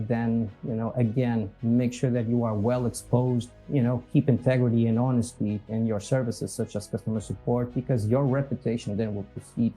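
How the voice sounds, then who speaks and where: A man's voice: mid-range voice, mellow, husky, low-quality recording. A man with a Portuguese accent, speaking as a guest in a casual interview, easygoing and meandering, chuckling at himself now and then. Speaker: podcast guest, company executive.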